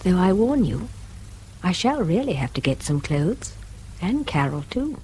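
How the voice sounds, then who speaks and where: An actress playing a tired, strained but fond wife in a radio play, earnest, with a husky voice. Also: female voice, on the low side, big pitch swings, unhurried, dark tone, slight background noise, poor audio. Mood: fond, yielding. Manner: weary, earnest.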